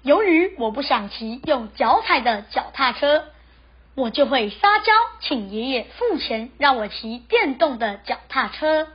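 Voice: high-pitched, big pitch swings, unhurried, slightly rough. A primary school child reading a scripted personal account aloud. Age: child, primary school pupil. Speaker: student essay author. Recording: slightly dull lo-fi recording.

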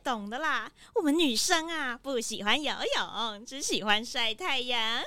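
A woman's voice: fairly high pitch, lively intonation, a little slow, sharp tone, clear, resonant tone, no echo. A woman playing a gossipy, pushy, haughty lady with gushing, theatrical exclamations in a children's detective audio drama. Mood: coy.

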